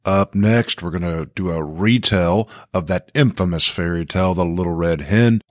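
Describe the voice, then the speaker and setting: A man's voice: low-pitched, moderate pace, slight background noise. A man hosting a casual school podcast, encouraging his co-hosts and prompting them with questions. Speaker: podcast host.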